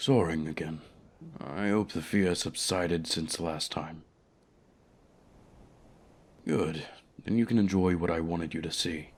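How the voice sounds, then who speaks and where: Deep, haughty ancient dragon voiced by a man. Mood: proud, pleased. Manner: formal, measured, grandiloquent. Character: ancient, haughty, lonely male dragon, courteous and possessive. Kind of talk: ASMR fantasy audio roleplay.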